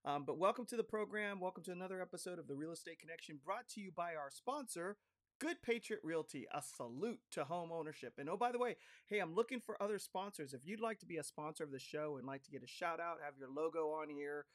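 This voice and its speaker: Hoarse, upbeat man hosting a podcast. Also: fairly high pitch, fast, dry recording. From California. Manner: upbeat, chatty, many fillers. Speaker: podcast host. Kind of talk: casual podcast talk.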